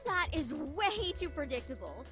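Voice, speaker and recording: Brash teen schoolgirl as a woman plays her, high, lively pitch, cheeky game dialogue with music behind. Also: a little slow. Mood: insistent. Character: brash, sporty, slangy teenage schoolgirl, playfully stubborn. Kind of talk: scripted visual novel dialogue.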